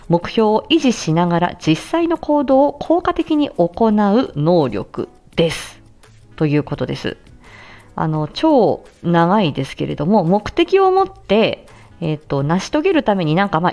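A woman's voice: medium pitch, darkish tone. A woman giving a casual explanatory talk as an educational host, going step by step through examples in a conversational way. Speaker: speech-language therapist hosting an educational audio show.

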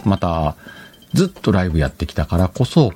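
A man's voice: sits low, very animated, brisk, a little mellow, soft background music. A man hosting a casual solo podcast, chatting colloquially, rambling and correcting himself, on a dedicated microphone. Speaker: podcast host.

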